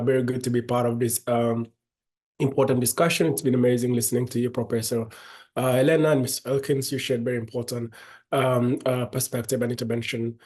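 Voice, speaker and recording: A man's voice: medium pitch, a little mellow. Earnest man from South Sudan, panel remarks. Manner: earnest, halting, repeats words.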